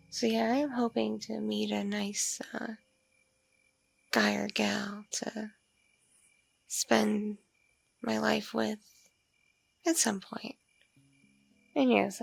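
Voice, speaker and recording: A woman playing a seductive, hungry mature vampire mother in an intimate ASMR roleplay, teasing and condescending, breathy and slipping into whispers. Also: mid-range voice, level tone, no echo, soft background music, full character voice. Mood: sweet, coy. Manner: slow, teasing, hesitant hums and pauses.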